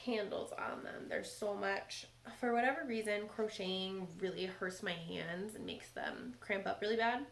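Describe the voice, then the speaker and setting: A woman with a cold hosts a knitting podcast in a slow, unhurried drawl with a gravelly, creaky edge. She chats casually and fills pauses with ums. The room is echoey, with faint noise behind her. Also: mid-range voice. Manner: chatty, relaxed, with frequent ums. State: stuffy from a cold. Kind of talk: casual show-and-tell chat.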